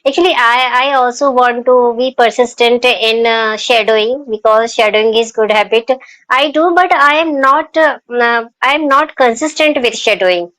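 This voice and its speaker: Indian woman, podcast host, halting and full of fillers, laughs often, slightly higher voice. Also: a bit bright, no room sound, rough recording. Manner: halting, with many fillers and restarts. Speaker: podcast host, English teacher. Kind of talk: casual conversational podcast.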